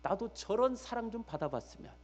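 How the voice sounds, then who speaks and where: A man's voice: sits high, animated, fairly quick. A man preaching a church sermon as pastor, emphatically repeating key phrases and putting rhetorical questions straight to his listeners.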